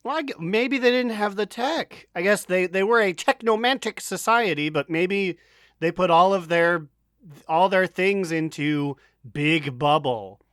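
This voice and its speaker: A man with a fairly high voice talks casually on a podcast. The sound is dry, without room echo. He retells a story jokingly, tossing in made-up lines of dialogue. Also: a little slow, fairly bright tone. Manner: joking, riffs in imagined quotes. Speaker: podcast co-host.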